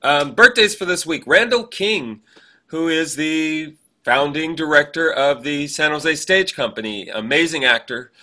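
A man's voice: fairly high pitch, animated, measured pace, sharp tone. A man co-hosting a podcast, chatting casually and reminiscing in a rambling, anecdotal way. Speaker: podcast co-host.

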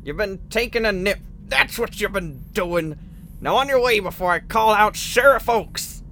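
Gruff rural shopkeeper played by a man, high-voiced, swooping and curt, radio drama with noisy ambience. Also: lively intonation, normal speed, fairly bright tone. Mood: suspicious, scolding. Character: grumpy, suspicious rural roadside-stand owner, married man.

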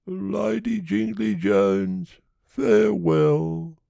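A man's voice: on the high side, slow pace, vocal fry, dark tone, dry recording, studio quality, voice pushed up, full character voice. A man voicing a tiny, big-headed, lovelorn creature, earnest and wistful, pleading in storybook verse. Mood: sorrowful.

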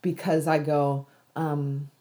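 A woman's voice: sits low, little pitch movement, takes it slowly, mellow. An American woman being interviewed, talking thoughtfully with many restarts and easy laughs. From United States. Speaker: interview guest. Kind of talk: reflective conversational interview.

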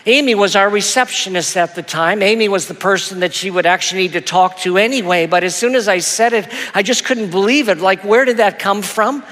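Soft-voiced man with a high, soothing tone. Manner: gentle, self-deprecating, halting with repeats. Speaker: pastor. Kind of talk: sermon storytelling. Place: church auditorium. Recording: long hall reverb.

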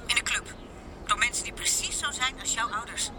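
Actress as a high-voiced, shaken young woman. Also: fairly quick, background noise, close to an everyday voice. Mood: reproachful. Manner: blunt, emotional. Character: shaken, reproachful young club worker, beaten up. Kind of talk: audio drama acting.

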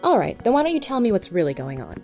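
A woman's voice: mid-range voice, sing-song, fast, a little mellow, soft background music. An actress playing a tough, short-tempered female FBI agent in an audio drama, brusque and authoritative. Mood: guarded, firm.

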